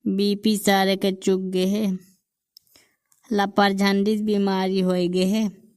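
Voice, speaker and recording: A woman voicing a pompous male quack doctor in a village cartoon, with a Bundelkhandi accent and self-important, drawn-out boasting. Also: mid-range voice, flat delivery, a little mellow, smooth, no room sound, studio quality. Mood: diagnosing.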